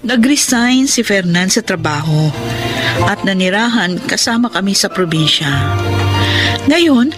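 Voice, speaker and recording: Actress as an assertive Filipina wife, music behind. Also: female voice, mid-range voice, animated, unhurried, pauses often, natural voice. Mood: content, reflective. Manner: emphatic, assertive. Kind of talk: Filipino radio drama acting and first-person narration.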